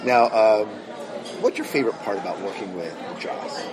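A man doing a casual, chuckly interview as an eager fan, with halting restarts. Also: fairly low pitch, expressive. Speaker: podcast interviewer. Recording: loud crowded hall chatter behind. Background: loud crowd chatter.

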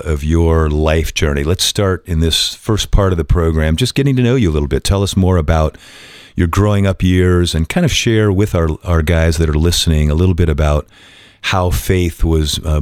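A man hosts a faith radio program in a very deep voice. He sounds eager and welcoming and leans on fillers as he talks. The sound is dry and close. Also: dry recording. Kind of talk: hosting a faith talk program.

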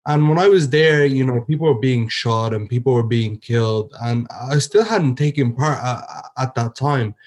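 This is an earnest young man from Ireland, speaking as a guest on a podcast. His voice sits at an ordinary middle pitch for a man. He talks through his story with frequent restarts and fillers as he searches for words. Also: normal speed, few pauses, darkish tone. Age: 20s. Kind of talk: personal testimony in an interview.

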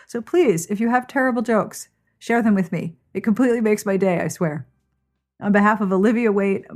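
A woman's voice: medium pitch, darkish tone. A woman hosting a podcast in an informal, playful, conversational way. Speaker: podcast host. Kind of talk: chatty podcast hosting and sign-off.

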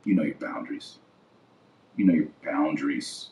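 A man's voice: rumbling low, normal speed, gravelly, darkish tone, slight background noise. A man hosting a podcast as a religious commentator, delivering an impassioned, indignant opinion monologue with emphatic repetition and rhetorical questions. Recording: echoey room, slightly distant mic.

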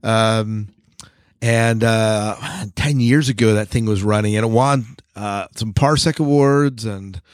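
A man's voice: on the low side, slow pace, clear, resonant tone, no echo, clean studio sound. A man hosting a podcast in a casual, chatty co-host style.

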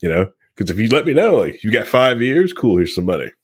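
A man's voice: lowish voice, sing-song, brisk, husky, dry recording, clean studio sound. A man chatting casually about video games, repeating phrases and acting out imagined exchanges. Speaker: podcast co-host.

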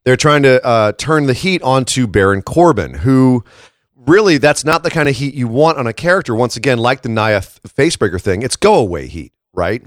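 A man hosts a casual talk podcast in a froggy, slightly hoarse voice. He makes his points emphatically and often checks in with tag questions. The sound is dry, with little room around him. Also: mid-range voice, expressive, moderate pace, dry recording. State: tired froggy morning voice after a late shift. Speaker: podcast host. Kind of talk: casual wrestling commentary chat.

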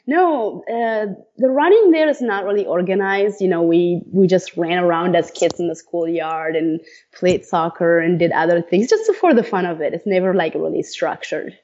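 A woman's voice: on the low side, a little mellow, highs cut off. A woman in her thirties, born in Ethiopia, chatting casually as an interview guest with frequent laughs and many fillers. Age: 30s. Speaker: guest, runner.

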